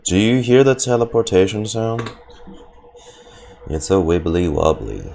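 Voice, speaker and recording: A man's voice: on the low side, animated, unhurried, vocal fry, a little mellow, a little hiss or hum. A man streaming a game with casual, playful, sweary commentary, sometimes reading dialogue aloud. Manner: profane, playful. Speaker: gaming streamer. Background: faint game music.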